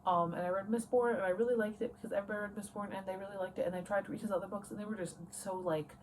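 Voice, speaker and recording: A woman's voice: mid-range voice, fairly even intonation, rapid-fire, darkish tone. A woman in her late twenties chatting casually in a rambling, self-interrupting way, as in a personal vlog. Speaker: book and writing vlogger. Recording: noticeable background noise.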